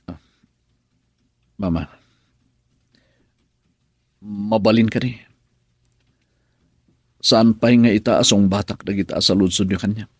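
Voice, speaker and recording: A man's voice: lowish voice, steady tone, takes it slowly, pauses often, husky, no room sound, clean studio sound. An actor playing an injured husband earnestly pleading with his mother, in Ilocano radio drama style with an Ilocano accent. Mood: defensive.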